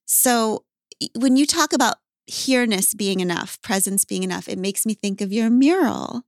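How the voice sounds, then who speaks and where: A woman hosts a podcast in a casual, emphatic chat and swears freely. Her voice is fairly high, and the sound is close and dry with no room echo. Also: moderate pace. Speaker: podcast host.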